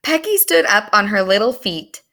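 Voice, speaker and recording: A woman reading a story aloud to young children in an animated, expressive storytelling manner. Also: medium pitch, moderate pace. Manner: animated, explains words to young listeners.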